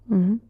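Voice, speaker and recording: A woman voicing an ancient genie of the lamp in a roleplay audio, weary yet playful, moving between grand servitude and casual sass. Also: a little mellow. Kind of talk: roleplay audio acting addressed to the listener.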